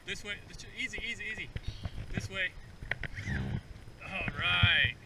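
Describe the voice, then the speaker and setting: Man, high voice, casual encouraging coach, short repeated phrases, outdoors, off-mic, very loud background noise. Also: a little slow, gravelly, distant mic. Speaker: father coaching his son while fishing. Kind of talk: casual outdoor vlog chat. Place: outdoors on a reservoir bank.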